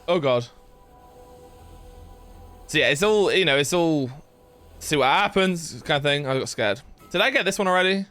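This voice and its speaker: Man, young, game streamer, bright forceful voice, slightly high, rambling casual chat, faint music behind. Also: normal speed, long pauses. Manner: rambling, filler-heavy, reads chat aloud, yelps with excitement.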